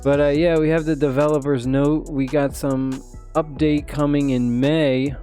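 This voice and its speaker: A man's voice: a little high, flat delivery, unhurried, mellow, soft background music. A man hosting a mobile gaming channel, still sick and losing his voice a little, rambling casually with lots of fillers. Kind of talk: casual commentary on a mobile game update.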